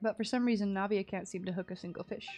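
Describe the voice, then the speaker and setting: A woman's voice: mid-range voice, steady tone, fast talker. A woman live streaming a game, chatting casually and playfully with cutesy slang. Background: soft background music.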